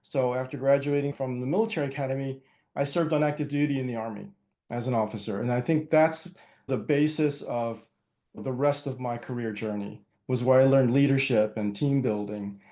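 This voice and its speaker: A middle-aged man from Pennsylvania, a guest giving earnest, measured answers with small restarts. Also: medium pitch, steady tone, dark tone, lo-fi sound. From Pennsylvania, USA. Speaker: guest, hospital security chief. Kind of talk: reflective interview answers.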